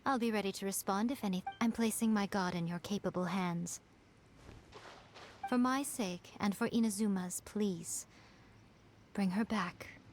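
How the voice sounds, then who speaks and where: An actress playing a sly, poised shrine priestess in a video game, silky, coy and a little condescending. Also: female voice, medium pitch, a little hiss or hum, lightly acted. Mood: earnest. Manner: coy, condescending, elegantly unhurried. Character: sly, poised shrine priestess, adult woman, teasing and unruffled. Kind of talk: scripted video game character acting.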